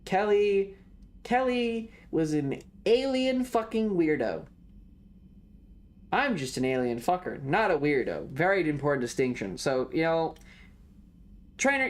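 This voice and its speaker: Low-voiced, animated woman streaming games. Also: a little slow. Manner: joking, irreverent. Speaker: streamer playing a video game. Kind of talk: casual, sweary game commentary.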